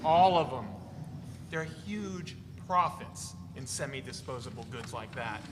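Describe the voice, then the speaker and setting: A man playing a glib, teasing spinner of deadpan tall tales, with conversational salesman's patter, in a dramatic reading. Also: mid-range voice, unhurried, close to an everyday voice. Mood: glib, boastful. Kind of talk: dramatic reading of a novel before an audience. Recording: noisy, reverberant hall recording.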